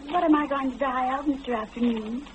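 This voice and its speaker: Vintage radio drama role: naive female secretary played by a woman, high voice, deferential yet childlike and wheedling, faint noise on the recording. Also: fairly quick, dull audio, close to an everyday voice. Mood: curious, pleading. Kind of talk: old-time radio play acting.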